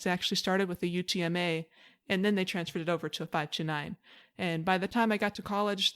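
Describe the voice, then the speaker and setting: A woman's voice: mid-range voice, clean tone. A woman in her late twenties from Hawaii, a podcast guest giving open, storytelling answers with the odd filler. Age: late 20s. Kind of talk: conversational interview.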